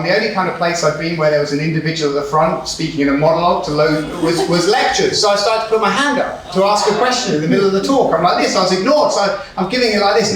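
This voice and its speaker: Brief: forties male speaker, Hebrew-native, Israel-raised, storytelling sermon, slightly higher voice, booming hall, heard from off-mic. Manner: storytelling, warm humour, rhetorical questions. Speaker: conference speaker. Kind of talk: sermon-style talk. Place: large hall with audience. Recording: echoey hall, far from the mic.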